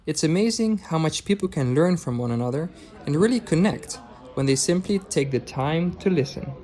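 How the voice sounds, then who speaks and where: A man whose first language is Dutch, narrating his travel vlog in a calm, measured, scripted voice-over. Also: a little high. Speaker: travel vlogger.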